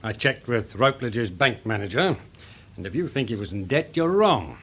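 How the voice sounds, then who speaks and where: Blunt, animated British police inspector. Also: male voice, mid-range voice, normal speed, husky. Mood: matter-of-fact. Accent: British English. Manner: blunt, colloquial, sceptical. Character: sceptical, gruff male police inspector in a radio drama. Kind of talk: classic British radio drama acting.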